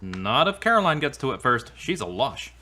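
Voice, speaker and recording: A man's voice: medium pitch, big pitch swings, normal speed, pushed and bright, slight background noise. An actor playing an earnest, devout man in a radio drama, speaking plainly and sincerely. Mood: wry, casual. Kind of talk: scripted radio-play dialogue.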